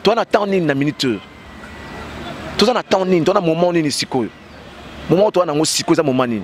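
A man's voice: a little high, moderate pace, a little hiss or hum. A man in his thirties with a Congolese French accent and a cold, talking passionately and emphatically with many fillers in an outdoor street interview into a handheld mic. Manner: passionate, emphatic, heavy "bah" fillers, gestures while talking. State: has a cold. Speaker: interview guest. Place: outdoors on a street by parked cars. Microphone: handheld mic with fur windscreen held by interviewer.

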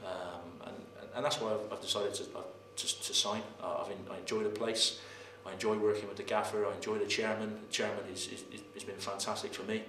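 A footballer in his thirties gives a post-match interview in a low, flat voice. He talks haltingly, often repeating words and pausing on "um". The sound is roomy with a faint noise behind. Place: press room in front of sponsor board. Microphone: camera mic, head and shoulders framing.

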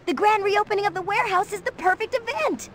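An actress playing a lively, eager young skater and comic artist in a video game cutscene. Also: female voice, very high-pitched, quick pace, slight background noise. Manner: eager, expressive. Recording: game audio with music behind. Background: game music.

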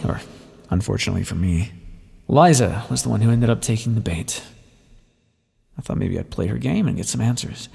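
A man as a gravelly, brooding vampire ex-rebel. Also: fairly low pitch, a little mellow, exaggerated voice. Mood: wry, bitter. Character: bitter, wry male vampire, a former rebel leader recounting his past. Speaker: audio roleplay performer. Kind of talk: ASMR roleplay monologue. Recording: long reverberant echo.

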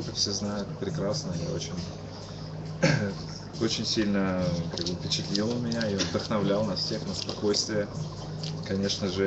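Halting, tired young man outdoors. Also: lowish voice, heavy background noise. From Kyiv, Ukraine. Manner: hesitant, halting, many restarts. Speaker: interviewee, musician. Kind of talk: casual live-stream interview. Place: outdoors at a street protest camp. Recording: lo-fi stream with loud outdoor ambience.

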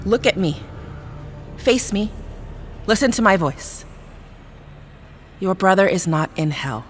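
A woman's voice: mid-range voice, animated, takes it slowly, soft background music. An actress playing a grave, urgent psychic in an audio drama, a woman with formal, commanding phrasing.

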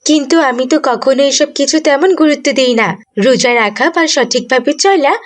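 A woman's voice: high-pitched, normal speed, close to an everyday voice. A woman voicing a self-willed young girl in a moral cartoon story. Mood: stubborn. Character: stubborn self-centred young girl, later repentant.

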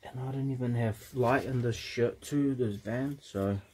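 A man's voice: medium pitch, measured pace, dark tone, slightly rough. Rambling young man cutting hair. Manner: rambling, joking, swears offhand. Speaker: barber. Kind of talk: casual step-by-step tutorial while cutting hair. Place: inside a parked van.

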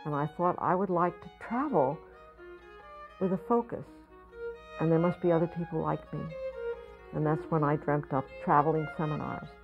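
A woman's voice: low-pitched, normal speed, long pauses, highs cut off, music in the background. An older woman, a potter, talking reflectively in an interview, often searching for words and repeating herself. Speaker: potter and art school founder. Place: studio or home with pottery shelves.

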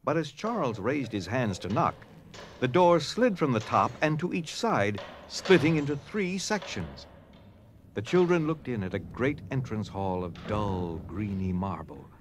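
A man's voice: mid-range voice, very animated, a little slow, a little hiss or hum. A man narrating a scripted story dramatically in an audio drama.

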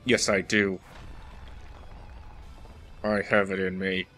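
Bright-voiced man doing wry game commentary. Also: sits low, level tone, takes it slowly. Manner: wry, self-deprecating, talking to the game's boss. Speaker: let's play host. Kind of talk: casual gameplay commentary. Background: video game music.

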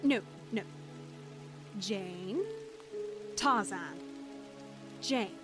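A woman's voice: medium pitch, expressive, drawn-out words, music in the background. A young woman with a British accent voicing a flustered, prim Victorian lady in a cartoon fan dub, breathless and stammering. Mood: curious, eager. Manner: breathless, stammering, chattering, proper. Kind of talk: animated film fan dub acting.

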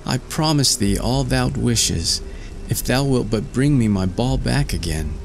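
A man's voice: medium pitch, animated, a little slow, vocal fry, soft background music, pitched above the performer's own voice. A man voicing a haughty, fickle young fairy-tale princess with archaic, exclamatory speech. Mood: eager promising.

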